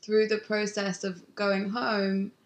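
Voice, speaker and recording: A woman photographer, talking about her work in a halting, reflective way with frequent restarts. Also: mid-range voice, completely flat delivery, reverberant. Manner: halting, thinks aloud with many restarts. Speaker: photographer, interview guest. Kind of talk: reflective casual conversation. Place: home.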